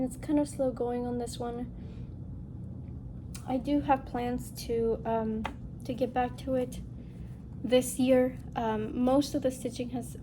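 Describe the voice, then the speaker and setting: Female craft vlogger, highish nasal voice, congested from illness, informal rambling chat, clear background noise. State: getting over a respiratory illness. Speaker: host of a cross-stitch video channel. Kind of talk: casual chat to viewers, craft show-and-tell.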